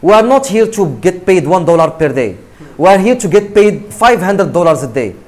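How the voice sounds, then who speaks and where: A man from Morocco gives an emphatic motivational talk to students in English. His voice sits fairly high and swings widely in pitch. He repeats words and keeps asking his listeners "right?". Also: very animated, normal speed, a little mellow. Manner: emphatic, repetitive, asks "right?" often.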